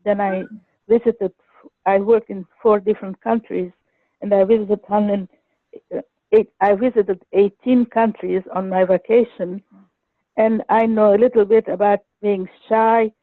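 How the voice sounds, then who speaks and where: An older woman calls in over a tinny, narrow phone line with no room sound at all. She talks earnestly and a little haltingly, restarting her phrases as she goes. Her voice sits at a middle pitch for a woman. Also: steady tone, no echo. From Egypt. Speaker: caller. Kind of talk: phone-in sharing a personal story. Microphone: telephone.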